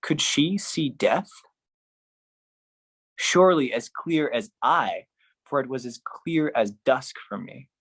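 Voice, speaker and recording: A man performing a theatrical spoken-word poetry monologue, phrased deliberately and dramatically, with shifting intensity. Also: mid-range voice, animated, a bit bright, husky, dry recording.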